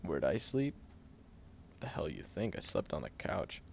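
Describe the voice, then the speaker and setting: A man's voice: extremely low pitch, wildly swooping pitch, a little slow, a little mellow, dull audio, a little hiss or hum, full character voice. A man playing a prickly, defensive young man who is sarcastic but secretly caring, in an audio roleplay spoken to the listener. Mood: grudging, dry. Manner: gruff, sarcastic, flustered tsundere bickering.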